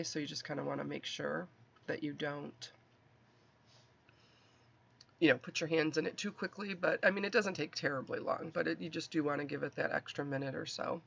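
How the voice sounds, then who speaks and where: Gravelly, congested man demonstrating a craft. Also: a little high, sharp tone. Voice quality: nasal, congested. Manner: chatty, rambling, self-correcting. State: allergies, stuffy. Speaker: card-making crafter. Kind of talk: casual craft tutorial narration. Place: craft desk indoors. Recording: dull lo-fi audio.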